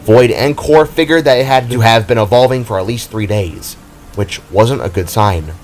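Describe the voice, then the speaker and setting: A man's voice: mid-range voice, moderate pace, slight background noise. A man reading scripted narration for an audio drama as its storyteller.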